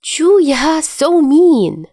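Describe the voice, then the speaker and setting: A woman's voice: high-pitched, big pitch swings, extremely slow, warm tone, no echo, clean studio sound, pitched above the performer's own voice, full character voice. A woman voicing an airy, playful, theatrical young man with a sing-song, whiny way of talking in an audiobook story. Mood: whiny, exaggerated.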